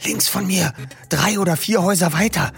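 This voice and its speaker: A man voicing a frightened teenage boy detective who stammers in a hushed voice. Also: high voice, normal speed, creaky, faint music behind, voice pushed up.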